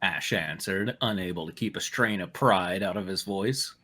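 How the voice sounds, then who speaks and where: A man's voice: low-pitched, normal speed, sharp tone. A man narrating a fan fiction aloud and breaking off for casual riffing.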